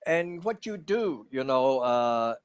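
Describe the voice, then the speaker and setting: A man's voice: medium pitch, very animated, slow, smooth. A man giving an informal sermon in a conversational way, filling his talk with little asides. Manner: conversational, many "you know" fillers. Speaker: preacher.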